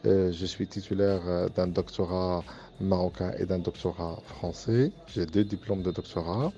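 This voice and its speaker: A middle-aged man speaks in a low, dark voice, earnest and measured, pausing often on hesitant fillers. The recording sounds dull and lo-fi, with faint music underneath. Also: steady tone. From Morocco. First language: Arabic. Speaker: university professor and engineering director. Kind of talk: interview testimony for a student podcast.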